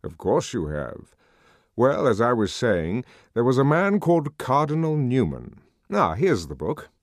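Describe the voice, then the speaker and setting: A lively, wide-ranging voice for an urbane man in power as a male narrator acts him. He lectures with wry authority and genial teasing, in the style of a novel read aloud. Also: medium pitch, a little slow, no room sound, clean studio sound, pitched above the performer's own voice. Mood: genial, lecturing. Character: urbane, wry, authoritative male world controller, amused intellectual.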